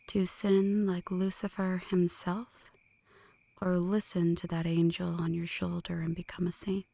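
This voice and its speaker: A woman playing a flirty, brooding female vampire in an ASMR roleplay, speaking in hushed, breathy whispers with a teasing, poetic delivery. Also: lowish voice, dry recording. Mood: solemn, earnest. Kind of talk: ASMR roleplay, scripted dramatic monologue.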